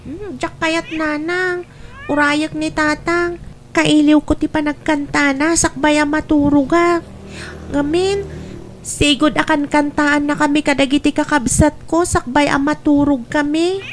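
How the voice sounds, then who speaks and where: A woman's voice: high voice, steady tone, a little slow, slight background noise. A woman playing an affectionate young child in an audio drama, plaintive and childlike. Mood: wistful. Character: young child, affectionate, waiting up for father at bedtime. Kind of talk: Ilocano audio drama acting.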